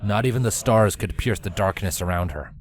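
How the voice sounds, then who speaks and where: A man with a fairly low voice narrates a written story. He reads it as a scripted third-person tale. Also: fairly quick, soft background music. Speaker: narrator of fan fiction.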